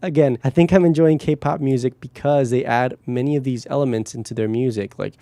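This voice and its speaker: A man's voice: medium pitch, steady tone, brisk, warm tone, no echo. Guatemalan-born man explaining music production. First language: Spanish. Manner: explains production terms, hums beats along. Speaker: music producer reacting to songs. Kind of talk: casual reaction commentary.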